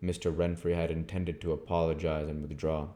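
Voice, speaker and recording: A man with a low-pitched voice narrates a story from a book. He reads aloud as an amateur audiobook reader, in the register of storytelling. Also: level tone, brisk, mellow.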